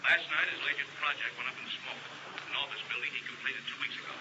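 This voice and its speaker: An actor playing an earnest, uneasy insurance agent in a 1950s radio drama, heard on a muffled, hissy old broadcast. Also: male voice, medium pitch, steady tone, fast, natural voice. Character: worried male insurance agent, earnest partner.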